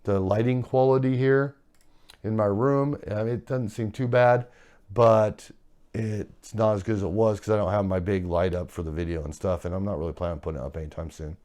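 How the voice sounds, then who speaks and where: A man with a hoarse, failing voice, rambling casually through a personal finance update from his room. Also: lowish voice, fairly even intonation, fairly quick, a little mellow. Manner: rambling, loose, filler-heavy. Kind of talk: casual monthly update talk.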